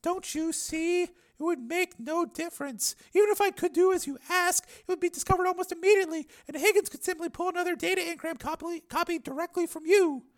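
A man voicing a defensive, anxious woman scientist in an audiobook, uneasy yet insistent, explaining and pleading in halting phrases. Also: very high-pitched, voice pushed up. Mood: anguished, earnest.

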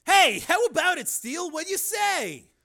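A man's voice: very high voice, big pitch swings, normal speed, vocal fry, pushed and bright, voice pushed up, full character voice. A man voicing a pushy, overfriendly bar patron with a brash, wheedling manner.

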